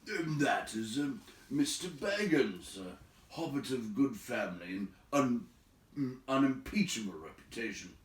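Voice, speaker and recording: A man voicing a courteous, cautious old storybook wizard who speaks politely and haltingly. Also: mid-range voice, lively intonation, natural voice. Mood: polite, hesitant. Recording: echoey room, distant from mic.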